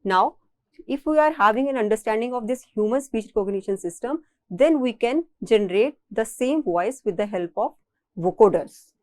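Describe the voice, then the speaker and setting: Higher-pitched Indian-accented woman lecturer. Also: lively intonation, moderate pace, a little mellow, dry recording. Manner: explanatory, repeats and rephrases points. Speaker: college engineering lecturer. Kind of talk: recorded technical lecture.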